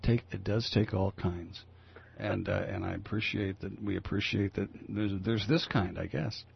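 Older man, radio talk host, gravelly growl, husky, low-ish voice, dull lo-fi broadcast sound. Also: moderate pace. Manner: rambling, many fillers and restarts. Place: radio studio.

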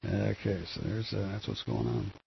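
An older man with a gravelly voice, hosting a rambling solo commentary on the news, full of fillers and restarts. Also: on the low side, very animated, normal speed. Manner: meandering, many fillers and restarts. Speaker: solo show host. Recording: dull lo-fi with faint noise.

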